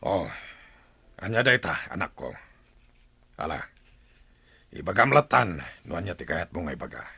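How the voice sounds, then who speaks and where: A male actor as a war-hungry chieftain in a radio drama. His voice is gravelly and sharply bright, swinging widely as he orders people about. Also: sits low, big pitch swings, moderate pace, long pauses, no echo, heavily acted. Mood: curt. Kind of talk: Ilocano radio drama acting.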